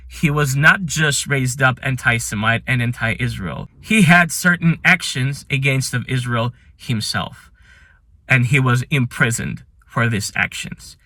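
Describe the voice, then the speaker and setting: Earnest non-native English-speaking man, bright. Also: medium pitch, no echo, clean studio sound. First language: not English. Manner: earnest, emphatic and admiring, with halting non-native phrasing. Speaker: channel host. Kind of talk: ranking list commentary.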